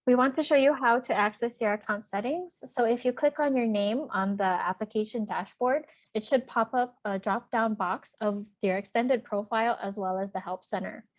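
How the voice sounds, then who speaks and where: A woman's voice: fairly high pitch, moderate pace, few pauses. A woman presenting for a college outreach office, narrating a scripted tutorial with plain step-by-step instructions.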